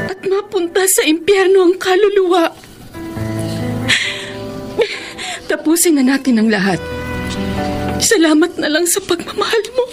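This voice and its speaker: A high-pitched Filipina mistress, devoted and self-sacrificing, speaks with plaintive, melodramatic heartache. Music runs clearly behind her. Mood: anguished. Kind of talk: Filipino radio drama acting. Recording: radio drama with music underneath.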